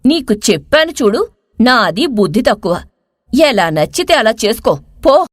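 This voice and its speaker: Woman acting a scolding village mother, lively pitch. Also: measured pace, no echo, studio quality. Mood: exasperated scolding. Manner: exasperated, scolding. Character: scolding, worried village mother of grown son.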